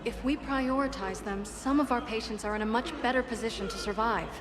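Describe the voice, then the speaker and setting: Woman playing a pragmatic, higher-voiced medic. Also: a little fast, crowd noise, lightly acted. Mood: insistent. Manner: earnest, arguing. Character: pragmatic, insistent female wartime medical worker. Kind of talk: dramatic video game acting.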